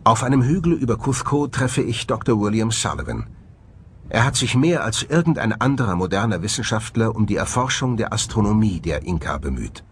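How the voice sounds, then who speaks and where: Low-voiced male documentary narrator over music. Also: big pitch swings, brisk, a little hiss or hum. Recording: music behind the voice. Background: background music.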